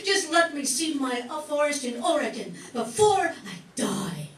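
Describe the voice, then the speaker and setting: A woman acts out a talking Christmas tree for a comic radio play, wry, dramatic and fond of guilt trips. The voice is high and swoops widely. It is picked up at a distance in an echoey room. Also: big pitch swings, a little slow. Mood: anxious, pleading. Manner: theatrical, guilt-tripping, wry. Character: talking fir Christmas tree, dramatic, kvetching, proudly festive. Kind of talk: comic radio play acting before an audience. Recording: roomy stage sound, distant microphone.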